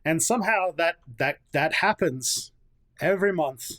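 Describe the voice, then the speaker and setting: A man's voice: a little high, expressive, a little slow, a bit bright, no echo. Chatty man in his thirties, dry sound. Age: 30s. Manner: chatty, laughs easily, self-deprecating. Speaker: guest, history content creator. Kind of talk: casual podcast interview.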